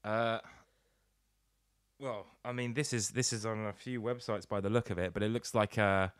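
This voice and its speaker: A man's voice: on the low side, steady tone, moderate pace, no room sound, clean studio sound. A man co-hosting a podcast, chatting casually and reacting to stories. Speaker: podcast co-host.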